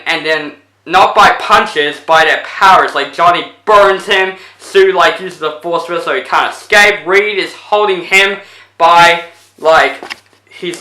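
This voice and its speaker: A man's voice: high-pitched, sharp tone, echoey room, rough recording. A young man recording a casual movie review video, rambling through a retelling with lots of fillers, his voice getting tired. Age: young adult. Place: indoors in front of a plain blue backdrop.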